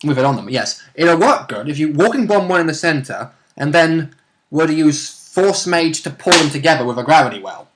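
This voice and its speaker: A man's voice: fairly high pitch, expressive, moderate pace. A man chatting casually as a player in a tabletop role-playing game. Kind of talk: casual chat.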